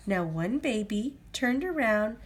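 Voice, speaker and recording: A woman reading a children's picture book aloud to a small child at home, gently pointing out things on each page in a sing-song way. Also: fairly high pitch, expressive, slow pace, slightly rough. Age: adult.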